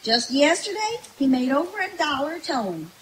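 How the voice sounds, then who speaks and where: A folksy country woman, acted by a woman in live old-time radio comedy, joking and giggly. Her voice is high and swings widely in pitch, over a hissy recording made from a distance. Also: sing-song, moderate pace. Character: folksy, jokey, man-hungry country woman named Mabel. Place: outdoor woodland stage at night.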